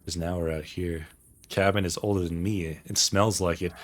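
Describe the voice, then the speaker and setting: A man's voice: low-pitched, fairly even intonation, normal speed, slight background noise. A man streaming a game, reading visual novel dialogue aloud. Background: soft guitar game music.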